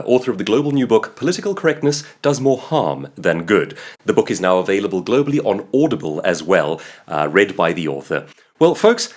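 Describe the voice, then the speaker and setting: A man with a South African accent delivers a sarcastic commentary monologue as the host. His husky voice leaps up and down in pitch all the time, hammering his points home with heavy emphasis. He sounds like a man in his thirties.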